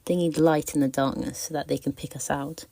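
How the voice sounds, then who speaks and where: A woman's voice: low-pitched, steady tone, fairly quick, vocal fry, mellow, dry recording. A woman reading out her prepared opinion as a listener's voice message. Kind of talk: prepared, scripted opinion piece.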